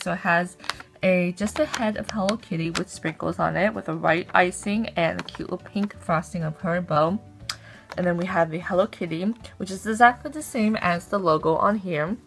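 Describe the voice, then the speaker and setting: A woman's voice: lowish voice, normal speed, gravelly, soft background music. A young woman chatting upbeat in a casual haul vlog, filmed on a phone at arm's length in a bedroom. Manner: chatty and upbeat, with frequent ums. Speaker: vlogger. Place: indoor bedroom, close to camera. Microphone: phone camera at arm's length.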